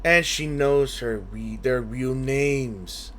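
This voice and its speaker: A man's voice: medium pitch, takes it slowly, a bit bright, slight background noise. A man giving casual playthrough commentary on a game, blunt and teasing, swearing casually. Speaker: gaming streamer.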